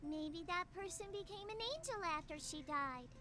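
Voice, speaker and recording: Tiny adoring demon girl, anime game, super high voice, music blasting under it. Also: lively intonation, normal speed. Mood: curious. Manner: adoring, speaks of herself in third person. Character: devoted childlike little sister demon girl. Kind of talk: anime-style video game voice acting.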